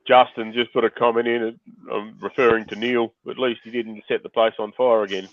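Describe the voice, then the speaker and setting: A man's voice: medium pitch, level tone, no echo, muffled recording. A man co-hosting a livestream, teasing and joking as he reads out viewer comments. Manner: teasing, joking, quick to laugh. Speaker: livestream co-host. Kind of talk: casual banter reading viewer comments.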